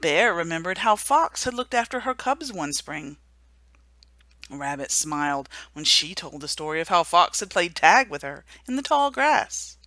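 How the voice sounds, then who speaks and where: Slow, gentle woman reading a storybook. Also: lowish voice, very animated, no room sound. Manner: gentle, hushed at sad moments. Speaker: story reader. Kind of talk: storybook reading at a memorial service.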